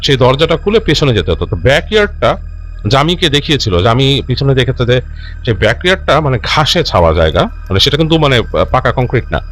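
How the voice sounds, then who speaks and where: A man hosting and telling a listener's ghost story in an unscripted, conversational way, halting and rephrasing as he goes and mixing in English words. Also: mid-range voice, big pitch swings, fairly bright tone. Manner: halting, self-correcting, repeats and rephrases phrases as he goes; mixes English words into his speech. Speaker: host narrating listener-submitted ghost stories.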